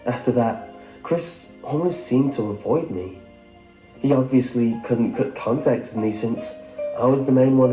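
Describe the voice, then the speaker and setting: A man's voice: medium pitch, warm tone. A man reading a scripted first-person horror story as its narrator. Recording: roomy echo under music. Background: music.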